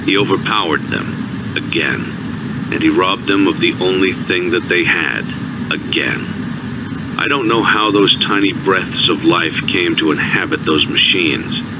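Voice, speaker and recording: An actor playing a grave, remorseful older inventor, speaking solemnly and deliberately in a game monologue recorded on tape. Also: male voice, low-pitched. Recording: dull, lo-fi tape with faint noise.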